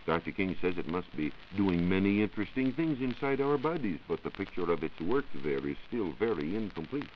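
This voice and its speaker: An American man giving a scripted, measured science talk as a reporter on a 1940s radio show. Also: low voice, sing-song. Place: radio studio. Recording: muffled 1940s radio recording with hiss.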